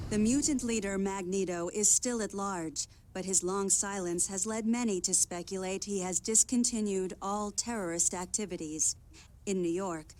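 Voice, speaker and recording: A woman acting as a composed TV news anchor in a video game. She reads formally and evenly at a medium pitch. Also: a little mellow, lightly acted, poor audio. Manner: formal, measured. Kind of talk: scripted news reading.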